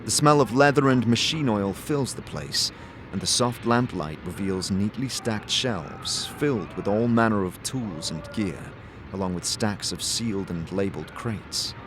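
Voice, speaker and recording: A man's voice: lowish voice, measured pace, background noise. A man giving dramatic, scripted second-person narration for a fantasy audio drama. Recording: music behind the voice.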